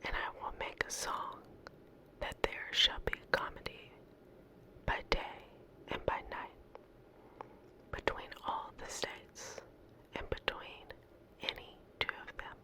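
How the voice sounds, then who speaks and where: A woman speaks entirely in a soft whisper, as an ASMR host reading poetry. Her delivery is very slow and gentle, with pauses between short phrases.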